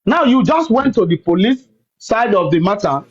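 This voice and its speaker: Man hosting, high lively voice. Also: lo-fi sound. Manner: repeats words, cuts in with rapid "wait" and "hold on". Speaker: live stream host. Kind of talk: heated live call-in debate.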